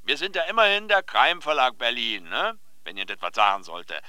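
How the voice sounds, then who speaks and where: An actor playing a pompous, excitable male publishing editor in a radio play, theatrical and condescending. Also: medium pitch, a little fast, no room sound. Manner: theatrical, condescending, swooping emphasis. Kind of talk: German radio play dialogue.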